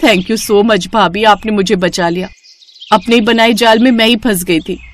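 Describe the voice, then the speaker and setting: A woman's voice: medium pitch, steady tone, a little slow, close to an everyday voice. A woman voicing a sweet young bride in a cartoon story, earnest, polite and anxious to please. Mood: apologetic.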